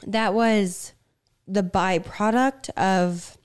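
A woman co-hosting a podcast, talking candidly and reflectively with frequent restarts, her voice slightly raspy. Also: medium pitch, fairly even intonation. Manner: reflective, speaks in halting restarts. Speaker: podcast co-host. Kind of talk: candid conversation.

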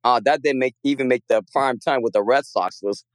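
A man calling into a sports show while losing his voice, speaking haltingly with many pauses and fillers. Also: medium pitch, no room sound. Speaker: caller. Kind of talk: call-in chat.

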